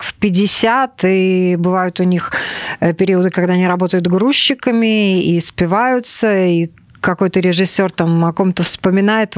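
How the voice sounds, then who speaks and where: A woman's voice: medium pitch, dry recording, clean studio sound. A woman hosting a conversational interview, putting questions to her guests. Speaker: host interviewing young actors.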